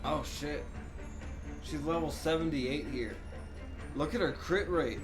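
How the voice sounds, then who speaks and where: A man doing casual live gameplay commentary as a streamer, excitable and slangy, with some swearing. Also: fairly high pitch, slow pace. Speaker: game streamer. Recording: stream voice over game music.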